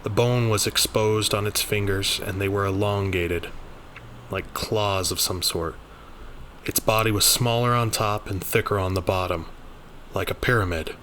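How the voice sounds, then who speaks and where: Low, bright-edged man narrating horror. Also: steady tone, unhurried, a little hiss or hum. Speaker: story narrator. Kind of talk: scripted first-person horror narration.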